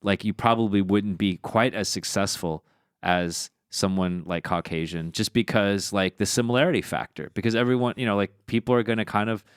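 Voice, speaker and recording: A man's voice: lowish voice, brisk, clear, resonant tone, dry recording, clean studio sound. A man hosting a podcast, chatting casually and thinking aloud with many fillers. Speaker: podcast host.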